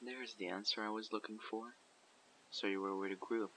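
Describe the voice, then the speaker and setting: A man voicing a guarded survivor leader who recruits people for a community, asking measured, probing questions in an audio roleplay. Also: fairly low pitch, steady tone, bright, forceful tone, slight background noise, low-quality recording. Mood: calm, probing. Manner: measured, probing, matter-of-fact. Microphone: USB condenser microphone.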